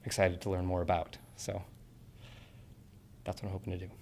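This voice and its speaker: Low-voiced young man, earnest and rambling. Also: completely flat delivery, normal speed, noisy background. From Portland, Oregon. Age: 20s. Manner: earnest, rambling with fillers and restarts. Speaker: interviewee, acting student. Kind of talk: casual interview answers.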